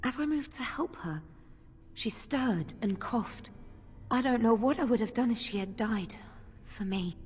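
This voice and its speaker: A woman's voice: on the high side, expressive, a little slow, soft background music. A woman playing an anxious, earnest young mage in a video game, speaking with hesitant worry. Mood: shaken. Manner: worried, hesitant. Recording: long hall reverb.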